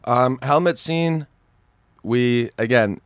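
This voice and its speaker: A man who acts, a guest on a talk show telling animated stories about his work in casual chat. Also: mid-range voice, clear, resonant tone, dry recording, studio quality. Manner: animated storyteller, quick asides. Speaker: actor, interview guest.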